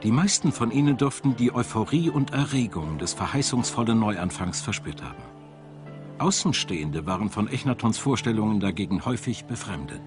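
A man's voice: on the low side, animated, fairly quick. A man reading scripted narration for a documentary as a studio voice-over. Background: music.

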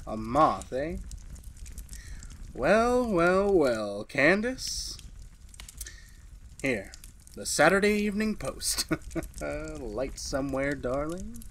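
A man's voice: fairly high pitch, sing-song, drawn-out words, pauses often, a bit bright, a little hiss or hum, exaggerated voice. A man acting a taunting, menacing husband in a retro radio drama, theatrical and drawn out.

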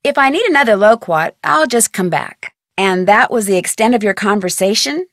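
A woman voicing a plucky, curious young detective in a video game, with an American accent and perky, clearly enunciated, expressive delivery. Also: mid-range voice, normal speed, dry recording. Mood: musing, focused. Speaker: game character and tutorial guide.

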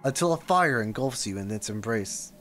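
A man casually rambling on a livestream as he reacts to a game, with soft music behind him. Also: on the low side, normal speed. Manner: rambling, animated, reads chat aloud.

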